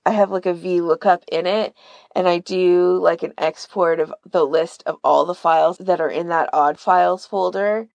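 A woman's voice: on the low side, steady tone, normal speed, vocal fry, darkish tone, dry recording. A woman explaining things in a casual podcast chat, informally and with plenty of filler words. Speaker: podcast guest and volunteer archivist.

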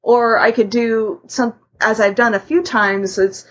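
A woman in casual podcast conversation, explaining her method step by step in a halting way. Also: on the high side, steady tone. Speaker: podcast panelist. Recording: muffled lo-fi voice recording.

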